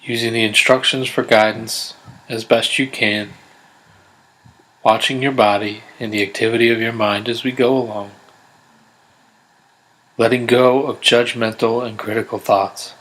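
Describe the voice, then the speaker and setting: A man guiding a body scan meditation in a gentle, unhurried, measured way, leaving pauses between instructions. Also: mid-range voice, steady tone, rough recording. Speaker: meditation guide. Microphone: close USB condenser microphone.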